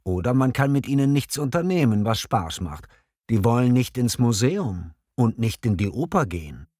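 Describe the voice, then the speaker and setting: An actor plays a hesitant, choosy bachelor in a language-lesson dialogue. He talks reflectively, with clear articulation and a widely moving pitch. The recording is dry. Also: sing-song, normal speed, a little mellow, no echo. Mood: mildly defensive. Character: hesitant, choosy single man who loves art. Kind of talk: scripted language-learning dialogue, clearly enunciated.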